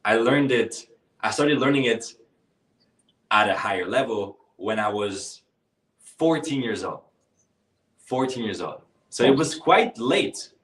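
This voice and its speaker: A man's voice: mid-range voice, lively intonation, slow, long pauses, fairly bright tone, recorded from a distance. Upbeat male coach in a roomy space. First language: not English. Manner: calls participants brother and bro, upbeat coaching. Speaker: workshop host and speaking coach. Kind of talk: live online workshop, casual and encouraging.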